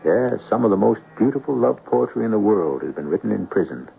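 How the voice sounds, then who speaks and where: Music plays clearly behind a man narrating an old radio drama on a tinny broadcast. His gravelly voice is wry and measured, with the theatrical air of a host guiding the tale. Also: on the low side, animated.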